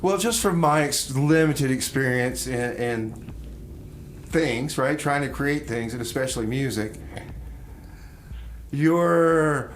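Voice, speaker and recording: A man hosting a casual live radio interview, speaking haltingly and repeating words as he goes. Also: medium pitch, a little hiss or hum. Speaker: radio show host. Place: radio studio.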